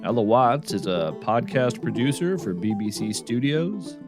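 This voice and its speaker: A man's voice: mid-range voice, big pitch swings, unhurried, over music. A man reading scripted podcast credits and announcements as the show's host.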